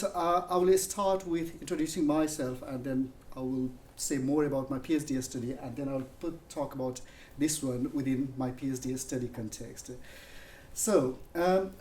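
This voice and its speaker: A man from Nepal in his thirties with a Nepali accent, giving a measured academic public lecture with frequent fillers and restarts. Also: a little high, darkish tone, a little hiss or hum. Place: university lecture room. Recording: echoey room, mic at a distance.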